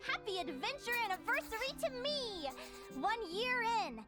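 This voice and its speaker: A woman's voice: very high voice, very animated, normal speed, over music. An actress voicing a cheery young woman adventurer who dreams of owning a ship, bubbly and exclaiming with giggles. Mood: cheerful. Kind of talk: video game voice acting.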